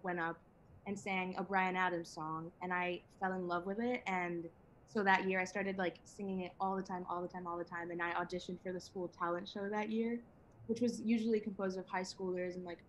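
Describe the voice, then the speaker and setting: A woman's voice: mid-range voice, a bit bright, a little hiss or hum. An eighteen-year-old woman from Venezuela, a singer-songwriter guest chatting in an interview, laughing often and talking with many fillers and restarts. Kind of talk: casual interview chat.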